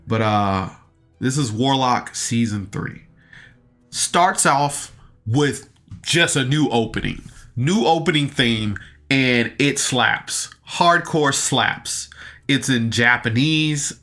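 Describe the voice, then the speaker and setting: A man with a bright, forward voice at an average pitch talks like an excited fan reviewing a show on his own. He rambles casually, stopping to rephrase and double back, with slangy wording along the way. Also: takes it slowly, faint music behind. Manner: rambling, hesitant, often backtracking and rephrasing. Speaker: podcast reviewer.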